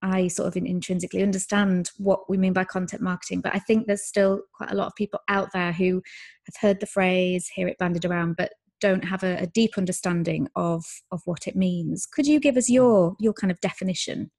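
A woman's voice: on the low side, fairly even intonation, fairly quick, vocal fry, a little mellow. A woman hosting a podcast interview, friendly and hesitant, using many fillers as she asks her questions. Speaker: podcast host.

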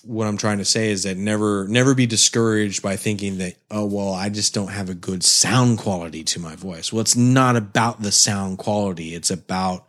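A man's voice: lowish voice, steady tone, normal speed, few pauses, a bit bright. A middle-aged man co-hosting a podcast in a laid-back, rambling casual chat, chuckling now and then. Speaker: podcast co-host.

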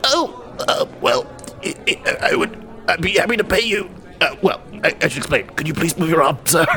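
A man's voice: fairly high pitch, big pitch swings, normal speed, bright, forceful tone, pitched above the performer's own voice, heavily acted. A man voicing a flustered, cowardly but boastful goblin merchant in a tabletop game, stammering and wheedling like a salesman. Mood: nervous wheedling. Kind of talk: tabletop actual play character acting.

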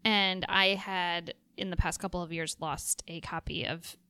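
A woman's voice: fairly low pitch, fairly even intonation, measured pace, bright, forceful tone, smooth, no echo. Nasal-voiced woman in casual chat. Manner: chatty, many filler likes and ums. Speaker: guest sharing favorite books.

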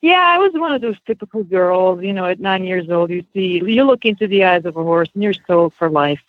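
A woman's voice: on the low side, lively intonation, brisk, no room sound. Woman on a thin phone line. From Switzerland. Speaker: interview guest, horse-training student. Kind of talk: casual personal storytelling. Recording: band-limited phone line.

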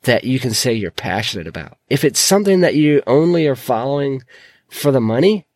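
A man hosting a solo podcast, his voice croaky from a sore throat, talking in an earnest, encouraging way. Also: medium pitch, very animated, brisk, darkish tone, no room sound, clean studio sound. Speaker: podcast host.

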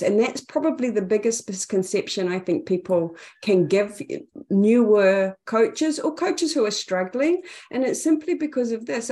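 A middle-aged woman with a New Zealand accent talks as a guest on a podcast. She speaks with candid, self-assured conviction. Her pitch sits in a middle range, and she reflects on her work as a coach. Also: darkish tone. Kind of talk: podcast interview conversation.

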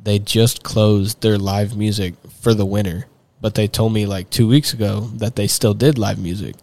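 A man's voice: on the low side, fairly even intonation, moderate pace, darkish tone. A young man, chatting casually as a podcast guest, rambling with lots of fillers and restarts. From Texas. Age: young adult. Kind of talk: casual chat.

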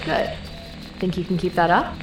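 A woman's voice: on the low side, lively intonation, normal speed, close to an everyday voice. A woman playing a take-charge, foul-mouthed heist team leader in an audio drama, giving directions. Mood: calm, coaching. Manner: directing, coaching, sweary. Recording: roomy echo, faint music underneath.

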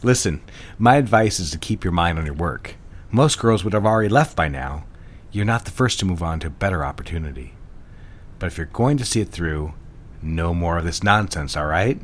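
Man playing a low-voiced, patronizing office boss. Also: expressive, fairly quick. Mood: brisk, patronizing. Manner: assured, teasing, condescending. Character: smooth, patronizing male ad executive boss. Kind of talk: period office audio drama acting.

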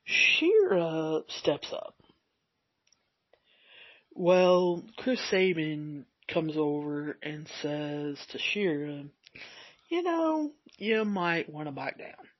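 A man talks slowly and unhurriedly, his voice a touch husky and raspy. He rambles through a solo podcast recap with plenty of fillers. The sound is dry and a little dull, like a modest home setup. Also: a little high, sing-song, dry recording. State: slightly raspy voice. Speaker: podcast host.

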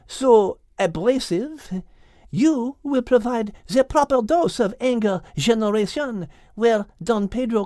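A man voicing a poised, clever female French cat with measured, polite speech, in an audiobook. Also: extremely high pitch, mellow, dry recording, studio quality, voice pushed up, exaggerated voice. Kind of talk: audiobook character acting.

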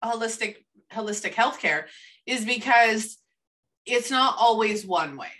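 Canadian woman co-host, eager and chatty, keeps restarting her thoughts, bright tone, sits a little off the mic. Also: a little high, expressive, unhurried, recorded from a distance. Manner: enthusiastic, rambling, frequent restarts. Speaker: podcast co-host. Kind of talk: casual podcast chat.

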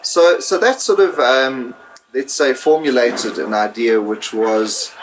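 A man's voice: mid-range voice, slow pace. A middle-aged man from South Africa, raised in Zimbabwe, answering interview questions with many fillers and restarts. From born in South Africa, raised in Zimbabwe. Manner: hesitant, many fillers and repeated words. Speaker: guest, food entrepreneur. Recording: noisy background.

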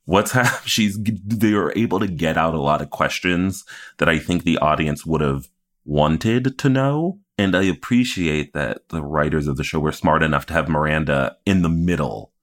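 Man, very deep pitch, casual podcast co-host, conversational recap, voices imagined reactions. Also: moderate pace.

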